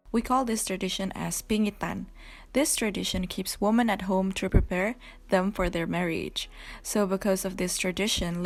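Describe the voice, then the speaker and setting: A woman's voice: mid-range voice, vocal fry. A woman reading a scripted explainer narration.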